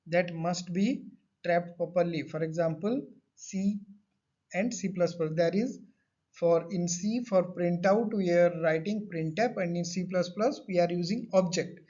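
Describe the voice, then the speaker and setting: Male lecturer, high voice, repeats and rephrases points, muffled lo-fi recording. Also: a little slow, warm tone. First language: not Hindi. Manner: explains with everyday examples. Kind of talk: classroom lecture. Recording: dull lo-fi audio.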